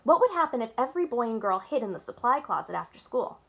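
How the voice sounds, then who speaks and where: A woman's voice: fairly high pitch, sing-song, fast, gravelly, a bit bright, husky, heavily acted. A woman voicing a stern, grumpy male school principal, lecturing, in a children's storybook read-aloud.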